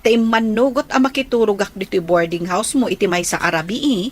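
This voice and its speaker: A woman's voice: medium pitch, brisk, lightly acted. A woman playing a friendly Filipino elder sister figure in a radio drama.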